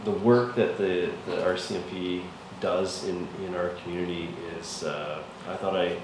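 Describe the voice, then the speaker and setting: A man councillor giving a halting report at a meeting, full of ums and restarts. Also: sits low, steady tone. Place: council chamber. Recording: echoey council chamber, far mic, noisy.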